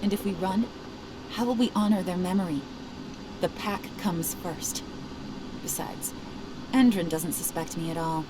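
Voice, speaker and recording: A woman's voice: fairly low pitch, unhurried, pauses often, natural voice. A young woman playing a wounded, defiant activist and estranged daughter in a video game cutscene, firm and intense. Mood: resolute. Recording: loud ambient noise behind voice.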